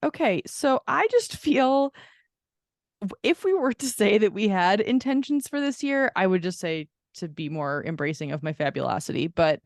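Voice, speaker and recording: A woman's voice: mid-range voice, sing-song, normal speed, no room sound, clean studio sound. A woman co-hosting a podcast in casual chat with a friend, gushing and affirming, rambling in a giggly, self-deprecating way. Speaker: podcast co-host.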